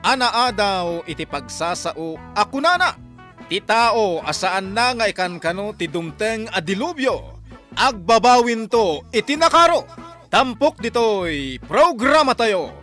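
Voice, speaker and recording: A man's voice: high-pitched, big pitch swings, unhurried, pushed and bright, soft background music. A man with a Filipino accent giving a dramatic, emphatic scripted radio announcement. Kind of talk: scripted programme narration.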